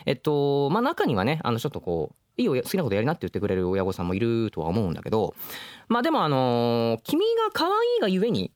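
Male radio host, dry close sound, hugely varied pitch, frank and conversational advice-giving. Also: huge pitch swings, quick pace, no room sound. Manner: earnest, frank, slangy, explains with examples. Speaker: radio show host, voice actor. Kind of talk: casual radio advice chat.

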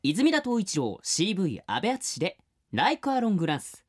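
A man's voice: high-pitched, huge pitch swings, unhurried. A man co-hosting a radio show, chatting casually and reading out listener mail in a chatty, agreeable manner.

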